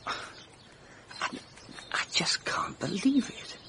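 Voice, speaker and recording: An actor playing a diffident, flustered young English gentleman in a radio drama, halting and hesitant. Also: in a whisper. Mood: dazed. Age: young adult.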